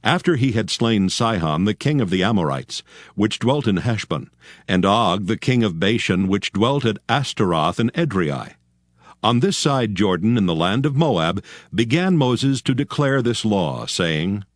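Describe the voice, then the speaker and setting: A man narrating scripture from a script in a measured, formal manner. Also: lowish voice, animated, no room sound, clean studio sound.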